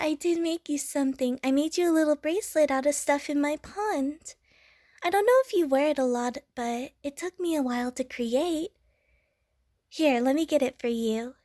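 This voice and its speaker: A woman's voice: sits high, moderate pace, darkish tone, no room sound, clean studio sound, natural voice. A woman playing an innocent young water slime creature in an audio roleplay, with a childlike, playful, gushing manner. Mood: earnest, sweet. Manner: childlike, playful, gushing, punctuated with little bloop noises. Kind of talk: audio roleplay addressed to the listener.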